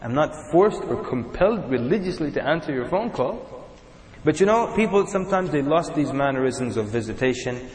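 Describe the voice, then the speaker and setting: A man's voice: fairly high pitch, normal speed. A man from Sudan, preaching a sermon to a live audience and acting out little examples as he goes. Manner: animated, acts out examples in little voices. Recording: echoey hall with long reverb.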